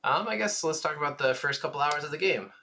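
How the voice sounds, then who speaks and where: A man's voice: a little high, animated, fast, bright, forceful tone. A man co-hosting a gaming podcast, talking in a casual, conversational style. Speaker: podcast co-host.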